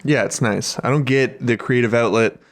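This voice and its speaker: A man's voice: mid-range voice, moderate pace. A man co-hosting a podcast, chatting casually with a friend in a wry, easygoing and profane way. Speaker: podcast co-host. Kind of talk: casual comedic chat between friends.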